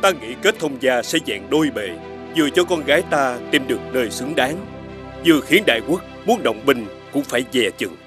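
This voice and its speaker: An actor playing an aging, firm but caring king in an animated fairy tale, speaking formally and with measured dignity. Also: mid-range voice, close to an everyday voice. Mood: grave, decisive. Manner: formal, measured royal speech. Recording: faint music behind.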